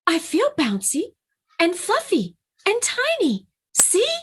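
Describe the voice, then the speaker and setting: Bunny Bear, a woman doing a gentle, earnest young bear. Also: high voice, sing-song, unhurried, pitched above the performer's own voice, heavily acted. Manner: soft, earnest and hopeful. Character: gentle, big-hearted male bear who feels like a bunny.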